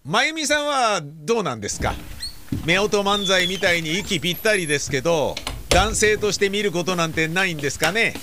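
A man's voice: sits high, lively intonation, background noise. A man acting in an audio drama as a polite but nosy middle-aged father who asks probing questions. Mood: prying. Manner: probing, courteous, drawn-out questioning.

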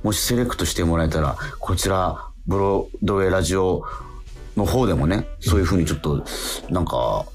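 A man's voice: sits low, fairly quick. A man hosting a casual radio talk show in an affable way, with frequent short responses. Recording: music bed behind the talk. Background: background music.

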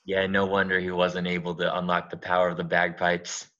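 A man plays a scheming young witch girlfriend with a very low, bright-edged voice, coaxing slyly. The recording sounds dull and lo-fi. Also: monotone, a little fast. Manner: sly, wheedling. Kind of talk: improvised audio comedy.

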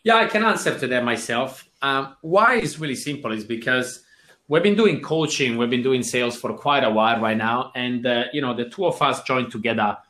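Bright Swiss-accented man in a roomy space. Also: medium pitch, expressive, moderate pace. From Switzerland. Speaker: guest, business coach. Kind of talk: casual interview answers.

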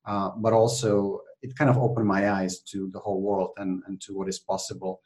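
Guest: man, Czech accent, low voice, lively, filler-heavy storytelling. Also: moderate pace, poor audio. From Czech Republic. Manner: animated, many fillers and restarts. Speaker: podcast guest. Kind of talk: conversational interview.

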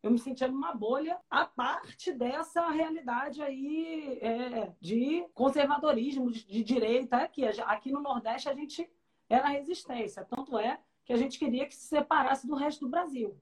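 High-voiced woman. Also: normal speed. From Rio de Janeiro, Brazil. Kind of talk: casual online conversation.

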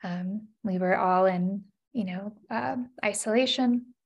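A woman's voice: medium pitch, slow pace, husky, dull audio. A woman in her thirties, a podcast guest, sharing a personal story in an earnest, reflective way, with many "you know" and "like" fillers.

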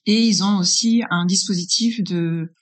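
A woman's voice: fairly low pitch, unhurried. A woman in her forties from northern France, answering interview questions as a consultant, explaining conversationally and checking in as she goes. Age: 40s. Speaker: interviewed guest, business incubator consultant.

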